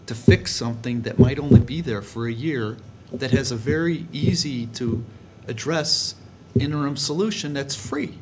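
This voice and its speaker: A man's voice: medium pitch, normal speed, few pauses. A man speaking as a board member in a meeting, arguing his points insistently and checking them with questions. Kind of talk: argumentative meeting discussion. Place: indoor board meeting room. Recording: noisy meeting room recording with clicks.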